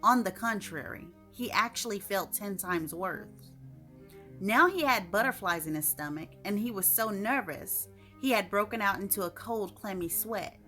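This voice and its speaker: Female storyteller, bright forceful tone, expressive short-fiction read over light music. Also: medium pitch, moderate pace. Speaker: author reading own short story.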